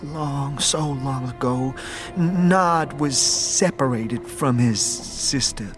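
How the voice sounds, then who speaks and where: A man's voice: mid-range voice, music in the background, close to an everyday voice. A man playing a timid, muddled scavenger in a fantasy audio drama, stammering heavily and repeating his words. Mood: anxious, wistful. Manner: heavy stammer, halting, repeats words, third person. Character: timid, muddled male beggar who scavenges the dead.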